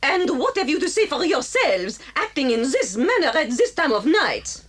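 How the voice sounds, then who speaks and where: A woman playing an excitable, indignant schoolmistress with a French accent and theatrical, exclamatory delivery in an audio drama. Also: sits high, normal speed, a little hiss or hum.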